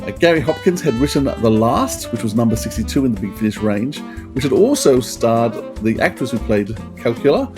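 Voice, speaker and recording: A middle-aged man speaks as a podcast host at an ordinary male pitch. He goes through facts conversationally, with music clearly audible behind him. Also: very animated, a little fast. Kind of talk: conversational trivia rundown and discussion.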